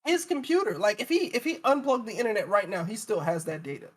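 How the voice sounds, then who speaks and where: A man with a notably high voice talks casually in a tech discussion. He is animated and stop-start, circling back to repeat phrases as he explains. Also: fast talker, a loud crowd. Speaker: co-host, web developer.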